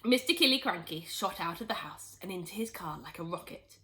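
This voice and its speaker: A woman reading a children's storybook aloud in expressive, scripted narration. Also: lowish voice, a little fast. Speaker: storybook reader.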